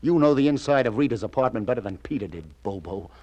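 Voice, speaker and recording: A man's voice: medium pitch, expressive, fast talker, warm tone, dull audio, natural voice. An American man playing a shrewd, casual New York police detective lieutenant in 1940s radio crime drama style, clipped and sardonic. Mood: accusing.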